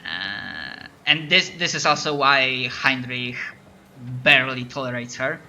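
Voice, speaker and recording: A man's voice: mid-range voice, slow pace, sharp tone, slight background noise. A man from Poland with a Polish accent, narrating as game master and often stopping to restart his sentences. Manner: hesitant, lots of restarts.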